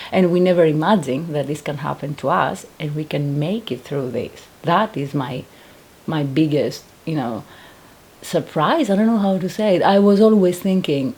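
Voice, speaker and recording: Low gravelly Greek-accented woman. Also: warm tone. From Greece. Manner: earnest, searching for words with many restarts. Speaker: painter and survivor giving testimony. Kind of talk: personal testimony interview.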